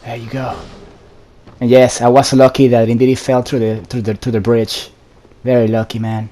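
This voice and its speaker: A man giving casual, low-key gameplay commentary in muttered asides, as a player does while playing. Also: little pitch movement, moderate pace, warm tone. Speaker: video game player commentating. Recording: background noise, game ambience. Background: game sounds.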